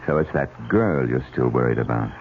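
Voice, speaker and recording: A man's voice: very deep voice, lively intonation, moderate pace. An actor playing a scheming, irritable married man with a curt, evasive manner in an old-time radio drama. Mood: firm, cold. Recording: muffled, noisy old radio recording.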